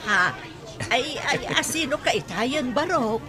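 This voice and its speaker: A woman's voice: high-pitched, lively intonation, measured pace, crowd noise. A woman acting an older village mother-in-law in a radio drama, chatty, exclaiming and exasperated. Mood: chatty, pitying.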